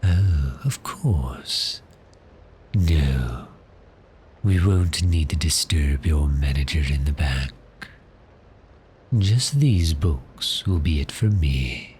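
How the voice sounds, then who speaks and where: Casting: male actor for a genteel, pleasant male vampire in ASMR roleplay, bottomless bass register, faint noise behind the voice. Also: animated, exaggerated voice. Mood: musing, pleasant. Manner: polite, unhurried, softly genteel with measured pauses. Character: cheerful, courteous male vampire posing as a bookshop customer.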